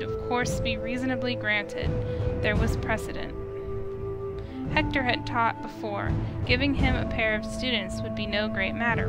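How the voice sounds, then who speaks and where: A woman reading a visual novel aloud as its narrator, in a dramatic storytelling style. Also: a little high. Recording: loud music under the voice.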